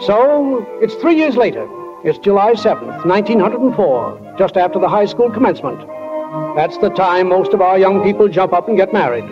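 A man's voice: sits high, very animated, moderate pace, music in the background. A man playing a folksy, wryly philosophical small-town narrator in 1940s radio style, speaking plainly and straight to the listener. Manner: homespun, plain-spoken, addresses listeners directly. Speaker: narrator of a play. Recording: muffled old 1940s radio recording.

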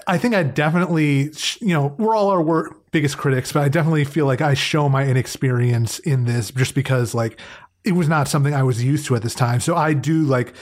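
A man's voice: on the high side, fairly quick. A man co-hosting a podcast, reminiscing casually in a self-deprecating, hesitant way. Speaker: podcast co-host and amateur voice actor.

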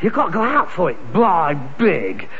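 A frustrated London junk trader, a grown son full of grand schemes. His voice is high for a man and swings widely, theatrical and sarcastic in old British sitcom style, on a dull recording. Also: very animated, measured pace. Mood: scheming, persuasive. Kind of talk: 1960s British sitcom acting.

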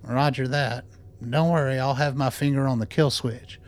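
An actor playing a thoughtful, cautious aging scientist in a radio play, talking in a measured, gently wry way. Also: male voice, mid-range voice, fairly even intonation, close to an everyday voice. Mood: careful, practical. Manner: measured, reflective.

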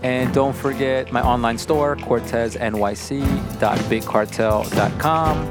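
A man's voice: medium pitch, measured pace, over loud music. A man hosting a podcast in casual chat, upbeat and playfully teasing his guests. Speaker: podcast host.

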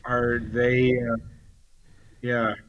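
A man talks casually as an interview guest. He is laid-back and a little hesitant, with a medium-pitched voice. The sound is slightly dull, as from a remote call. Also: flat delivery, drawn-out words. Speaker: interview guest, band musician.

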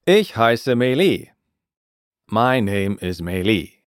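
Male podcast teacher for scripted lessons: slow, deliberate delivery, lively rise and fall in a lowish voice. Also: dry recording, studio quality. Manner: clear, deliberate, repeats phrases for learners. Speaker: language teacher and podcast host.

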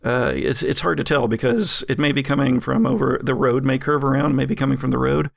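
A man narrating a tabletop game as game master in a casual, improvised, halting way. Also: medium pitch, fairly even intonation, vocal fry, no room sound, studio quality. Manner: halting, searching for words.

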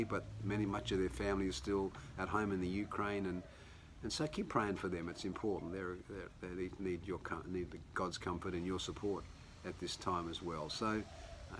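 A man preaches in a relaxed, conversational way, his very low voice a little croaky from a cold. He often restarts his sentences as he talks from his home. A faint hum sits behind him. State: hoarse. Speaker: pastor. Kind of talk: sermon.

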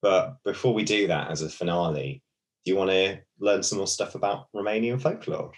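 A man's voice: low-pitched, fairly quick. A man co-hosting a podcast, chatting casually and explaining folklore with many fillers and restarts. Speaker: podcast co-host.

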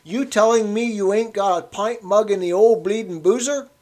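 A man's voice: sits high, normal speed, a little mellow, pitched above the performer's own voice, natural voice. A man voicing a stubborn, grumbling old London working man, dropping his aitches. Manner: querulous, pugnacious, dropped aitches.

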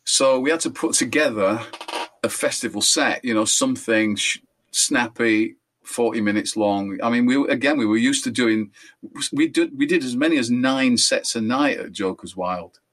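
An older man, a musician being interviewed, telling rambling anecdotes in a relaxed way and correcting himself as he goes. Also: moderate pace. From England. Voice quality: high voice. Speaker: musician guest.

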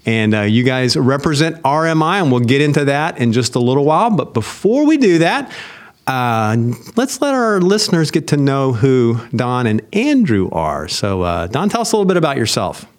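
A man's voice: mid-range voice, very animated, normal speed. A man hosting an interview, upbeat and welcoming toward his guests. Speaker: host.

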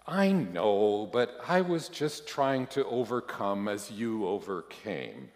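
A man's voice: on the low side, sing-song, slow. A man voicing a flustered believer who stammers and protests to justify himself before God in a spoken sermon story, in a reverberant hall. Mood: impatient, defensive. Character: flustered, defensive believer arguing with God after death. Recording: echoey hall, long reverb.

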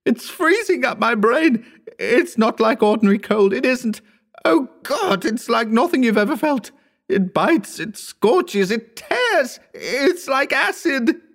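A man's voice: very high-pitched, sing-song, slow pace, a bit bright, voice pushed up, heavily acted. A man voicing a tormented, half-mad wounded man in terror, wailing shrilly and melodramatically in a horror audiobook. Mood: agonized. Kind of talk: dramatic horror audiobook character reading.

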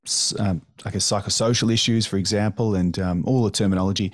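A man hosts a podcast conversation, speaking in a low voice that sounds dry and close. He talks thoughtfully, with steady affirmations. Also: fast, dry recording. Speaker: podcast host. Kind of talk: conversational interview.

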